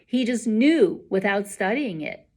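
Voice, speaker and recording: A woman's voice: mid-range voice, expressive, measured pace, a little mellow. A woman voicing an encouraging, earnest male schoolteacher from a storybook, addressing a class with emphatic rhetorical questions. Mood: earnest, rousing.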